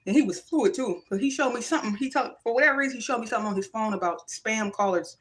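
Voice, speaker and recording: A woman, talking casually on her own livestream. She speaks in an animated, emphatic way and moves between thoughtful musing and preachy encouragement. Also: fairly high pitch, quick pace. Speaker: livestream vlogger. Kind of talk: casual spiritual livestream monologue. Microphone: phone or webcam livestream.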